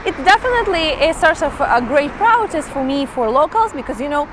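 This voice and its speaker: Chatty high-voiced woman vlogging outdoors. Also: sing-song, moderate pace, background noise. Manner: chatty, enthusiastic, addresses viewers as guys. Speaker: travel vlogger. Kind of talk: casual travel vlog to camera. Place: outdoors in a small town.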